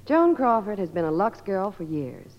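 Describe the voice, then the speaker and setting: A woman's voice: mid-range voice, big pitch swings, moderate pace. Lilting American woman, old radio advertising. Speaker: commercial spokeswoman. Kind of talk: scripted 1950s radio advertising. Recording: muffled old radio recording, hall reverb.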